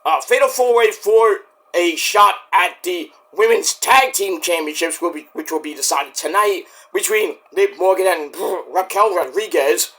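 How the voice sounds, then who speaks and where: A man with a high, bright voice that is hoarse and worn. He rambles through a casual solo wrestling review, joking as he goes. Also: measured pace, rough recording. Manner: rambling, jokey, with bursts of shouting.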